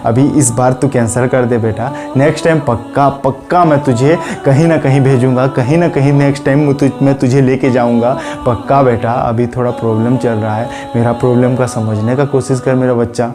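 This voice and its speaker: A man's voice: mid-range voice, fairly even intonation, a little fast, hardly any pauses, music in the background, lightly acted. A man playing a patient, troubled father in a spoken story, soothing and pleading with his son. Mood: weary pleading.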